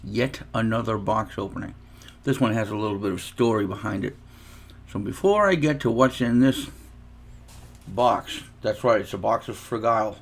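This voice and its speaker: A man's voice: lowish voice, normal speed, creaky. A man chatting casually in an unboxing vlog, telling a long anecdote with asides and restarts. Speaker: guitar reviewer.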